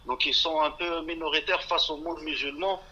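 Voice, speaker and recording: A man's voice: on the high side, steady tone, moderate pace, pushed and bright, slightly rough. A man on the phone as an interview guest, blunt and categorical, cutting in over the host. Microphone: telephone. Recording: muffled phone line.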